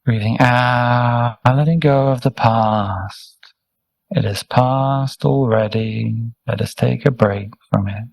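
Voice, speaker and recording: A man guides a meditation at a very slow, unhurried pace. His voice is mellow and relaxed, with a gravelly fry at its edges. He gives gentle, soothing step-by-step instructions and leaves space between phrases. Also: mid-range voice, no room sound, low-quality recording. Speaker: meditation teacher, Buddhist monk.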